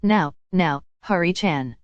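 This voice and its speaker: A woman voicing a huge, eccentric old male ninja who gives threats with gruff apology. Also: fairly low pitch, slow pace, no echo, muffled recording. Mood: apologetic warning.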